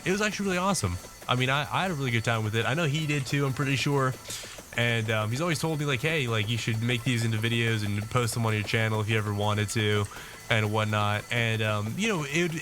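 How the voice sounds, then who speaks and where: A man talking over his own gameplay in a loose, rambling way, circling back and restarting his sentences often. His voice sits at an ordinary middle pitch and sounds a little stuffed up from congestion. Soft game music plays faintly behind him. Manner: rambling, many fillers and restarts. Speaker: gaming video host. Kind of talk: casual gameplay commentary.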